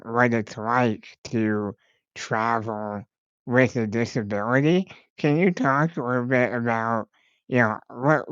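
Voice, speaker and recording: A man's voice: mid-range voice, fairly bright tone, clear, resonant tone, no echo, muffled recording. A man hosting a podcast, talking in a friendly, casual way with plenty of "you know" fillers. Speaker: podcast host.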